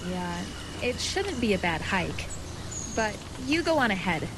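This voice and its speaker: A woman's voice: mid-range voice, very animated, a little slow, noisy background. A young woman acting a determined, secretive video game character, speaking with a purposeful, reassuring and lightly teasing manner. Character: determined, secretive young woman guiding her newfound brother.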